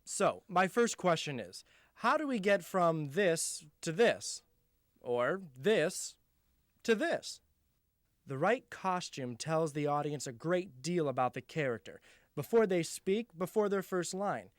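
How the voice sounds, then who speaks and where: A man's voice: on the high side, big pitch swings, slow, no echo, clean studio sound. A man narrating a scripted arts feature as the show's reporter.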